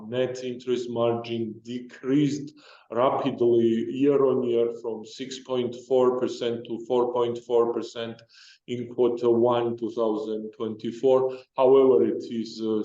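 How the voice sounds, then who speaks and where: Halting man presenting in dull, roomy audio. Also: mid-range voice, flat delivery, mellow. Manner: halting, hesitant with frequent broken-off phrases. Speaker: company executive presenter. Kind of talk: financial results presentation. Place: conference call.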